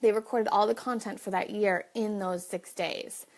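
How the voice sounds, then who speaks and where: A woman's voice: mid-range voice, steady tone, normal speed. A woman hosting a casual live video, chatting directly to viewers, with a slight cough. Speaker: livestream host.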